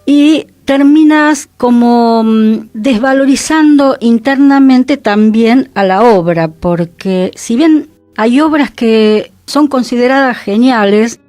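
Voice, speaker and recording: A woman's voice: on the high side, slow, warm tone, dry recording, soft background music. A woman with an Argentine accent talking reflectively in a conversational radio panel. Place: radio studio.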